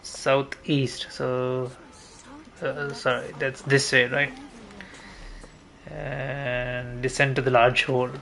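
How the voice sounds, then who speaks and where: Male game streamer, bright tone, casual rambling think-aloud commentary with mild swearing, faint background noise. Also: medium pitch, little pitch movement, takes it slowly, vocal fry, pauses often. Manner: rambling, self-talking, mildly sweary.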